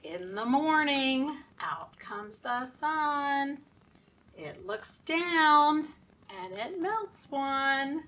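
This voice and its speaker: A woman leading story time for young children in a sing-song, deliberate, encouraging way, often asking the children questions. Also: high-pitched, lively intonation, bright, forceful tone, far from the mic, poor audio.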